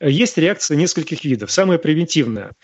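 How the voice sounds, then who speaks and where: Guy lecturing on live radio, scornful and emphatic, kinda high voice, muffled dry sound. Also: animated, brisk, no room sound. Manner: emphatic and scornful, with sharp rises in pitch. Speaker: radio host answering listener questions.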